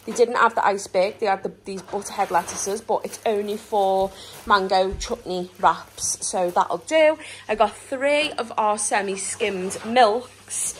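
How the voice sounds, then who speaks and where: A woman's voice: medium pitch, slight background noise, low-quality recording. A British woman in her thirties chatting through a family grocery haul at home, rambling and laughing often while filming on a handheld phone. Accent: British English. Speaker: vlogger. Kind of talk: casual grocery haul vlog. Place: home kitchen, indoors. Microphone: handheld phone camera.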